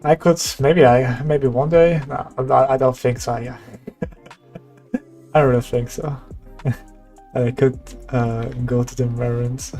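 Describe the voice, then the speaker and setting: A man's voice: medium pitch, fairly even intonation, takes it slowly, long pauses, dark tone, faint music behind. A young man chatting casually with viewers on a stream, laid-back and laughing often. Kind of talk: casual livestream chat.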